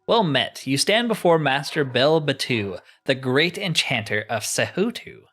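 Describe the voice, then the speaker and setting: A man's voice: medium pitch, very animated, unhurried, fairly bright tone. A man voicing a haughty ancient master enchanter turned crystal, reading game dialogue in a grandiose, theatrical manner. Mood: grand, welcoming. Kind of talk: reading video game dialogue aloud in character.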